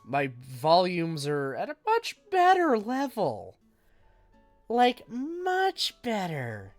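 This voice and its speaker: A man's voice: high-pitched, extremely slow, dry recording, faint music behind. A man streaming games, chatting casually in a rambling, self-deprecating way, with a stuffy nose and a lingering cough. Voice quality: self-described monotone voice. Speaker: game streamer.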